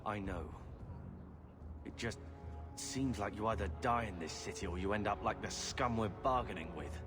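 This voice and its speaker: A man's voice: on the low side, normal speed, natural voice. An actor playing a hot-headed, brooding young man in a fantasy game, speaking with earnest, bitter frustration in a reverberant space over music. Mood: bitter, frustrated.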